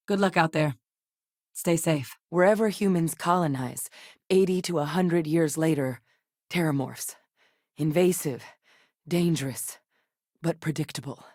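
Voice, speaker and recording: An actress playing a composed, duty-driven soldier-scientist in a space video game, with a measured, serious, matter-of-fact delivery. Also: female voice, sits low, a little mellow, no echo, clean studio sound. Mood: composed, friendly. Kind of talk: game character dialogue lines.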